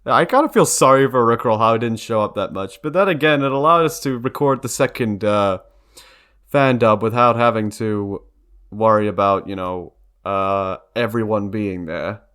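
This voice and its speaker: A man's voice: fairly low pitch, normal speed, a bit bright, clean tone. A young man giving casual, rambling behind-the-scenes commentary for a video, prone to giggling. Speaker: video creator and fan dub member.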